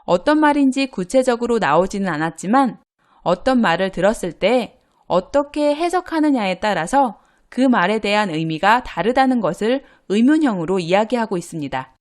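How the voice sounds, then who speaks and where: A woman teaching a grammar lesson, explaining example dialogues clearly and patiently. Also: mid-range voice, animated, normal speed. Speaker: Korean language teacher.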